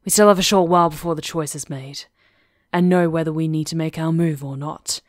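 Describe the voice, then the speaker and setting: Woman acting a werewolf chieftess, lowish voice, blunt, commanding, impatient, dry close sound, audio roleplay. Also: steady tone, a little fast, dry recording, natural voice. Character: brash female werewolf pack chief, blunt, fierce, impatient.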